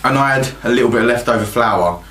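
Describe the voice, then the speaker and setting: A man's voice: mid-range voice, quick pace, fairly bright tone. A young man co-hosting a casual comedy chat show, jokey and rambling, laughing often. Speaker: co-host. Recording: echoey room with faint noise.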